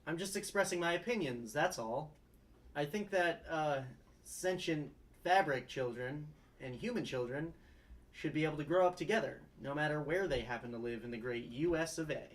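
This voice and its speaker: A man plays a young, idealistic lawyer in a sitcom script. His voice runs a little high. He comes across as earnest and somewhat unsure, in an everyday way of talking. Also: moderate pace, lightly acted. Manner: hesitant, earnest. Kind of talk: comedy script table read.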